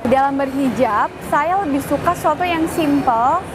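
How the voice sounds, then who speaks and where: A woman's voice: high voice, normal speed, background noise. A woman of twenty-four, speaking in a composed, formal way in an interview. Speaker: interviewee, model and architect. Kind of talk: personal testimony interview.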